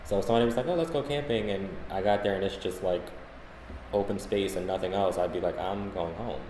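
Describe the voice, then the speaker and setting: A man's voice: low-pitched, fast talker. A man co-hosting a podcast, telling casual anecdotes in a conversational way. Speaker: podcast co-host. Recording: echoey room, faint background noise.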